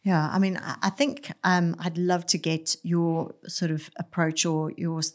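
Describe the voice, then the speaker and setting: A woman hosting a podcast about pets, with a notably low voice for a woman. She asks careful, drawn-out questions, padding them with ums and short sounds of agreement. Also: flat delivery. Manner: measured questions with many ums and brief backchannels. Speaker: podcast host. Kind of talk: interview questions.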